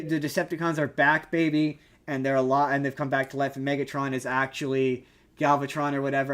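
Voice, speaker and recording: A man with a slightly high voice talks casually about films on a podcast. He is opinionated and emphatic, pressing his points in an argumentative, conversational way. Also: a bit bright. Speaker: podcast host. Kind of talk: casual movie discussion.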